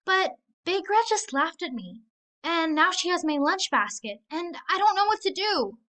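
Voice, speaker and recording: A woman's voice: extremely high pitch, lively intonation, normal speed, bright, forceful tone, pitched above the performer's own voice, full character voice. A woman voicing a small, timid young boy wolf in a storybook, earnest and pleading. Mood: upset.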